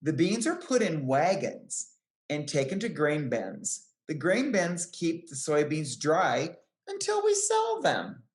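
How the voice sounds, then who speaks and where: A woman reading a picture book aloud to young children, with careful, deliberate phrasing. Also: deep voice, wildly swooping pitch. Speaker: children's book reader, extension educator. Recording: roomy, echoing sound.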